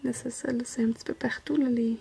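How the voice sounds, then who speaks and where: A woman's voice: fairly high pitch, steady tone, fast, gravelly, mellow, slightly rough. A woman in her thirties with a Québécois French accent, chatting casually to viewers while she crafts and rambling, self-correcting, and often trailing off into a near whisper. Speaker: diamond painting vlogger.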